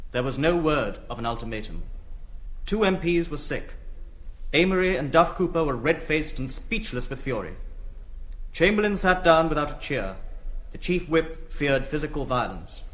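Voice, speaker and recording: A grave, literary English narration of wartime history for a radio play. The voice is slightly high for a man and moves widely in pitch, with measured, dramatic phrasing. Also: animated, a bit bright.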